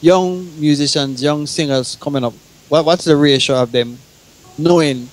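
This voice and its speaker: A middle-aged man, a singer talking casually as a guest in a halting, questioning way. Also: on the high side. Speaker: veteran singer, guest on talk show. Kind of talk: casual discussion.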